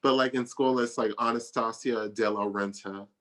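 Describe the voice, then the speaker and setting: A woman's voice: rumbling low, fairly even intonation, moderate pace, fairly bright tone. A woman in her early thirties, a guest in a casual interview, talking in a playful, chatty way and laughing easily. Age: 30s. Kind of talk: casual chat.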